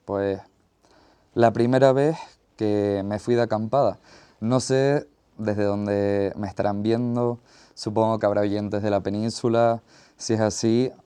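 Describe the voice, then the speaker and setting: Slow, low-voiced Canarian man. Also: dark tone, clean tone. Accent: Canarian Spanish. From Tenerife, Canary Islands, Spain. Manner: measured, thoughtful, pauses mid-phrase. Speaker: podcast host. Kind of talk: reflective solo podcast monologue.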